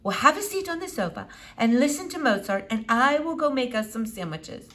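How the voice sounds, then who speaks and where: A woman's voice: high voice, expressive, brisk, fairly bright tone, pitched above the performer's own voice. A woman voicing a bossy, eccentric woman doctor in a children's storybook, with formal, stilted, commanding phrasing. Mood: brisk, insistent. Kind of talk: children's novel read-aloud character voice.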